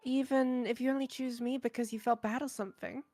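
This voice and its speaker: A woman's voice: high voice, steady tone, dark tone, dry recording, soft background music, lightly acted. A woman voicing a shy, anxious teenage schoolgirl in a visual novel, speaking hesitantly. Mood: shy, earnest. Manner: hesitant, halting.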